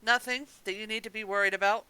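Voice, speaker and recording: A man with a high, bright and very clear voice. He co-hosts a casual podcast and chips in with dry, quippy banter. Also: steady tone, fast talker. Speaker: podcast co-host.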